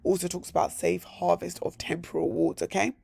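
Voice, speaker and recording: Crypto vlogger, male, hoarse and gravelly, upbeat, repeats himself in threes. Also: high-pitched, flat delivery, fairly quick, a little mellow, no echo. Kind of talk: casual screen-share walkthrough.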